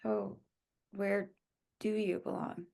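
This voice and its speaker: A woman playing an earnest, pleading young woman with a husky, creaky voice in a dramatic table read. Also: mid-range voice, level tone, slow, dark tone.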